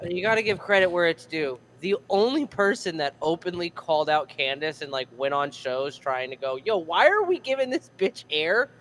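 A man's voice: on the high side, expressive, normal speed, few pauses, a bit bright, poor audio. A man co-hosting a podcast, cutting in with blunt, profane remarks. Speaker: podcast co-host. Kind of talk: crude comedic banter.

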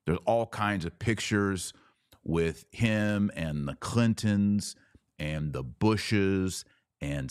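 A man's voice: sits low, no echo, studio quality. A man hosting a podcast, giving opinionated news commentary in an indignant, emphatic way with short, punchy repeated phrases. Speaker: podcast host.

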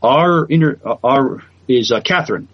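A man's voice: medium pitch, animated, moderate pace, husky, no echo. Hesitant man on a dull dry mic. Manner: hesitant, many uh fillers. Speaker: podcast co-host. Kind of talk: casual chat and news reading.

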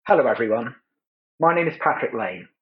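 A man moderating an online panel webinar, giving a measured, polished introduction. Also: medium pitch, very animated, phone-quality sound.

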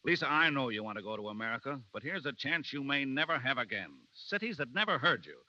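Fast, sharp-voiced pushy manager. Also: male voice, mid-range voice, very animated. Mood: urgent, persuasive. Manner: insistent, persuasive. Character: pushy, fast-talking male manager of opera singer. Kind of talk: 1930s radio drama acting. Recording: dull, lo-fi 1930s radio broadcast.

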